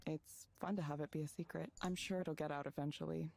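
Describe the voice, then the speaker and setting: Casting: reflective, reserved woman, slightly low and dark-toned, understated game dialogue. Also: steady tone, brisk, gravelly, slight background noise. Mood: guarded, reflective. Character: reserved, reflective woman, newly hired proxy counselor. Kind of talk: visual novel voice acting.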